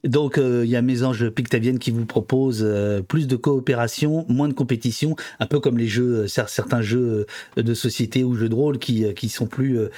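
A man's voice: mid-range voice, fairly even intonation, moderate pace, dark tone. A man hosting a casual online panel, talking with many fillers and restarts. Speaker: livestream host.

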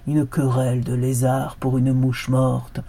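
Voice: medium pitch, monotone, unhurried, creaky, mellow. A storyteller voicing a proud thoroughbred horse who refuses with contempt. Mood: disdainful. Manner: whinnying, lofty and contemptuous. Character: noble racehorse, vain and disdainful.

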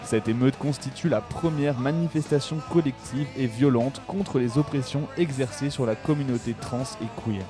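A man's voice: medium pitch, moderate pace, hardly any pauses. A man reading a scripted documentary narration as a radio presenter. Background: music.

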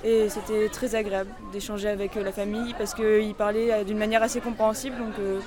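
Teen girl, student, chatty, fast, fillers, crowd outdoors. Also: medium pitch, little pitch movement. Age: teens. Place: ferry deck outdoors.

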